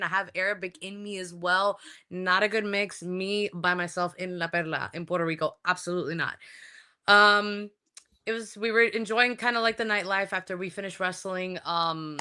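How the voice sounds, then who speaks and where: A woman with a bright, forceful voice talks as a guest in a casual interview. She tells her story in an animated, halting way, leaning on repeated words for emphasis. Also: mid-range voice, clean tone. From Colombia.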